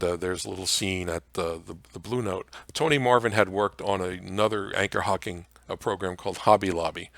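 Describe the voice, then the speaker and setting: A man presenting an informal slide lecture on old-time radio history in a halting way, with frequent hesitations. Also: sits low, fairly bright tone, dry recording.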